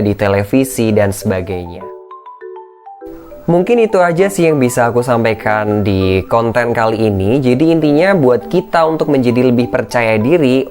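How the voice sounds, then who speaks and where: A man with a thin, shrill voice, giving an informal, encouraging educational talk as a content creator, slipping in English phrases. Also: darkish tone. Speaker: content creator and public speaking coach. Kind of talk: casual motivational educational vlog talk.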